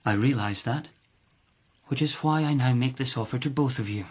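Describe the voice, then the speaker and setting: An actor playing a calculating, authoritative lord of the palace in a radio drama, speaking in a formal, measured, oratorical way. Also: male voice, mid-range voice, husky, lightly acted. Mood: persuasive.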